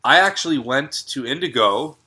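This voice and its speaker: Bright, lively young man with a cold. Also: medium pitch, moderate pace. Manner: dry, sarcastic. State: congested, nasal from a cold. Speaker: podcast co-host. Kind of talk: casual chat.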